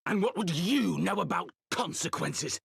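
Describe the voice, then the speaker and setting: An anguished young nobleman from a fantasy game, high and husky in voice. His formal, pleading speech swings widely in pitch and rings in a long hall reverb. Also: animated, unhurried, a bit bright, heavily acted. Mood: bitter. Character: anguished young nobleman, earnest and guilt-ridden. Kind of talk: dramatic video game cutscene acting.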